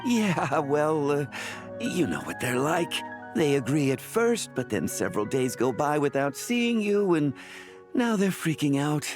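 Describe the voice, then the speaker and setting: A man's voice: a little high, sing-song, measured pace. A man acting as an easygoing, nagging older brother in a video game, talking in a casual, awkwardly friendly way. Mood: exasperated. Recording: background music under the dialogue.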